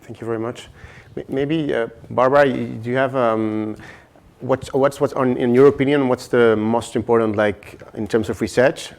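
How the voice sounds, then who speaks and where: A man moderating a round table discussion, putting questions haltingly and repeating words. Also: medium pitch, steady tone, creaky, a little mellow.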